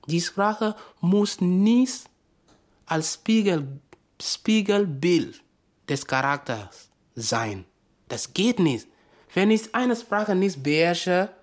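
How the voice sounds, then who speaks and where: Emphatic slow woman, lively pitch. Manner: emphatic, insistent, non-native grammar. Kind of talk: emphatic interview answer.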